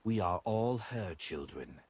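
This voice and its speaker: A man's voice: low voice, lively intonation, slow, darkish tone, breathy edge, exaggerated voice. A man voicing a serene, motherly demoness with an ethereal manner in a fantasy audiobook. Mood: serene, coaxing.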